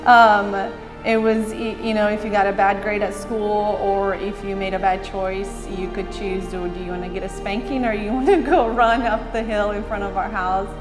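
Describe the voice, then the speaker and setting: Lively woman from Brazil, echoey hall. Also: mid-range voice, normal speed, over music. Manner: lively, laughing, with bursts of speed. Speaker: interviewee, runner. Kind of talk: candid interview talk.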